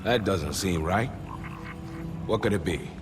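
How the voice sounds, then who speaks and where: A man's voice: deep voice, a little slow. A man playing a cocky, loyal veteran sergeant major in a game, with brash, wry military banter. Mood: focused. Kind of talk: cinematic game dialogue.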